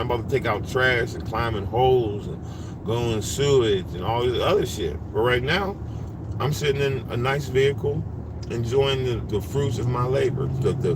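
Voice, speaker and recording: Man, 40s, rambling livestream talker in a car, street noise behind. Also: mid-range voice. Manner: rambling, animated and repetitive, restarts often. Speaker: livestreamer and driver. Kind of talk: casual monologue. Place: inside a parked vehicle.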